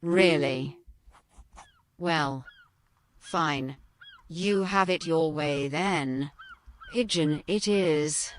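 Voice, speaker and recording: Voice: medium pitch, drawn-out words, pauses often. An actor playing a grudging ship captain in an audio role-playing game, drawling with resignation. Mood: resigned. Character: slow-talking, grudging ship captain checking in passengers.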